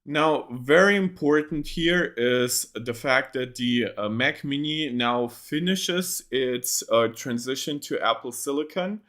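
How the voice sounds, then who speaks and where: A man's voice: medium pitch, takes it slowly, few pauses, a bit bright. A young man hosting a casual tech reaction livestream from a home setup on a desk mic, rambling with many ums and restarts.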